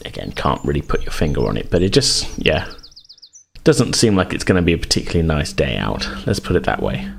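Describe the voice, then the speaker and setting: A man's voice: very deep voice, very animated, brisk, creaky, a little hiss or hum. A man narrating as game master of a tabletop roleplaying game in a conversational, improvised, hesitant way. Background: background music.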